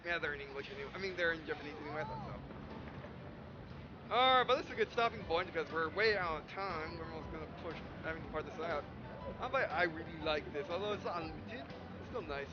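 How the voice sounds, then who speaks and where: Male let's-play host, high-pitched, loose and rambling, with game music playing underneath. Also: lively intonation, fast, muffled recording. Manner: rambling, restarts phrases often. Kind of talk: casual game commentary.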